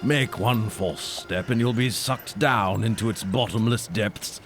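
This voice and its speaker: A man's voice: on the low side, unhurried, over music. A man playing an eerie country doctor in a comic audio drama, with a theatrical, ominous way of talking. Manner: theatrical, ominous, prone to chuckling. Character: eerie chuckling country doctor and carriage driver.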